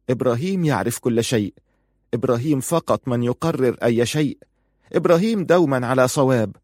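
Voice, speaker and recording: A man's voice: on the high side, darkish tone, dry recording, clean studio sound, voice pushed up. A man voicing a passionate, pleading and defiant young wife in audiobook dialogue, insistent and emotional. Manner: insistent, emotional, bitter at times.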